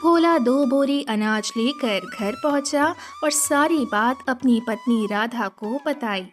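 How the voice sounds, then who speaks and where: A woman reads a children's story as narrator. Her voice is very high and her delivery slow and measured. Soft music runs faintly underneath.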